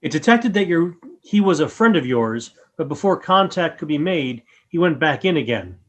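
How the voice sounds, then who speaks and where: Woman playing a solemn, measured alien creature. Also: deep voice, expressive. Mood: helpful, measured. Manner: measured, formal. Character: solemn, courteous, unseen alien creature speaking through a robot. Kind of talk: radio play acting.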